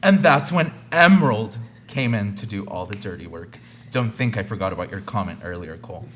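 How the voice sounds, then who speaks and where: A man's voice: lowish voice, animated, brisk, a bit bright, a little hiss or hum. A young man acting as a self-important, aggrieved podcaster in a comedy radio play. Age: young adult. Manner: pompous, aggrieved, self-promoting. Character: self-important, whiny male podcaster milking his victimhood.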